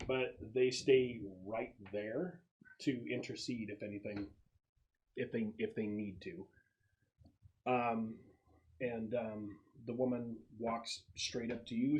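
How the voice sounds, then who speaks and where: A man's voice: medium pitch, steady tone, slow pace, darkish tone, rough recording. A man acting as game master, narrating a tabletop roleplaying game in a conversational, explanatory way and thinking aloud as he goes.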